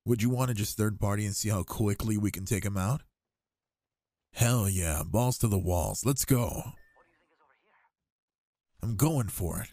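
A man's voice: fairly low pitch, unhurried, pauses often, dark tone, dry recording, clean studio sound. A young man playing an affectionate, cocky gamer boyfriend in an intimate ASMR roleplay, with slangy, teasing talk. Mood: cocky, competitive.

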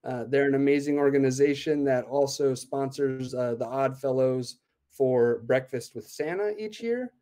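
A man's voice: medium pitch, moderate pace, warm tone. Upbeat man on a video call. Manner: upbeat, explanatory, frequent "uh" fillers. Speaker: event organizer guest. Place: home room. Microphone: laptop webcam on video call.

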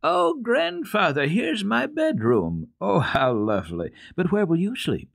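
A man voicing an excited, delighted little mountain girl in a storybook reading, exclaiming with drawn-out wonder. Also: high-pitched, vocal fry, no echo, clean studio sound, voice pushed up, exaggerated voice.

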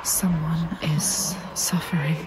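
A haunting voice inside someone's head in a fantasy game. She is low and half-whispered, insistent and ominous, with loud music underneath. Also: little pitch movement, slow pace, low-quality recording. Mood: uneasy. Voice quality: breathy whispering. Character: haunting inner voice, urgent female whisperer. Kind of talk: dark fantasy game acting.

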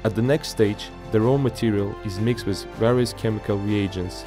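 A man giving a measured, formal scripted narration for an industrial report. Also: lowish voice. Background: music bed.

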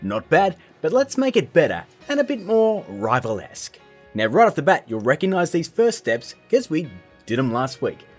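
Casting: male tutorial host, thirties, lively swooping delivery, slightly rough voice, jokey and casual. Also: on the high side, normal speed, soft background music. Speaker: host of a filmmaking tutorial show. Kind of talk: playful scripted tutorial to camera.

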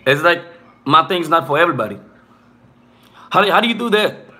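Bright-voiced young man chatting on stream. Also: fairly high pitch, sing-song, a little fast. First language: not English. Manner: loose, rambling, peppered with "bro" and "you know what I'm saying". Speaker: live streamer. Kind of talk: casual live stream chat with viewers. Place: small room indoors.

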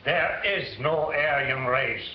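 Slow, high-voiced older professor. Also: male voice. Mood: measured, pointed. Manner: measured, rhetorical lecturing. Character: principled older male professor, defiant and deliberate. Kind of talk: 1940s film acting. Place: classroom film set. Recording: muffled, echoey, noisy 1940s film soundtrack.

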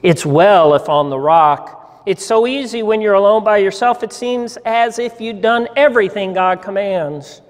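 A man's voice: high voice, measured pace, few pauses. A man reading the part of a humble, devout cobbler who muses to himself in a pensive, self-questioning way in a dramatic story reading. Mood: earnest, troubled. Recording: hall reverb in a church.

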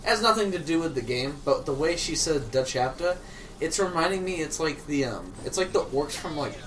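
A let's play co-host chats in a casual, jokey way at a middling pitch. The talk rambles along with the odd swear word, as loose commentary between friends. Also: expressive, fairly quick, crowd noise, poor audio.

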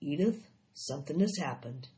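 Woman acting a low, solemn, awestruck farmer husband. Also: big pitch swings, a little mellow, muffled recording. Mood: shaken, solemn. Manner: halting, grave, folksy.

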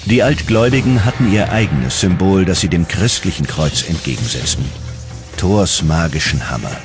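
A man's voice: deep voice, lively intonation, normal speed. A man delivering scripted documentary narration in a dramatic storytelling manner. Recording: prominent music under the narration. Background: loud background music.

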